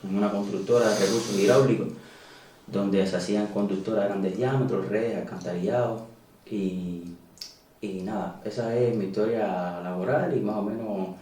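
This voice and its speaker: A Cuban man in his thirties with a Cuban Spanish accent, talking casually as an interview guest and filling pauses with "eh". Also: on the low side, steady tone, warm tone, distant mic, slight background noise. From Cuba. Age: 30s. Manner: conversational, many "eh" fillers and restarts. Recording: roomy, echoey, voice away from mic.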